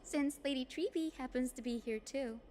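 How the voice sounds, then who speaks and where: An actress playing a gentle, modest young woman healer in a video game, speaking politely and earnestly. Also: high voice, moderate pace, noisy background, lightly acted. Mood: candid, wistful. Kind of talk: scripted game voice acting. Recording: music behind the voice. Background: background music.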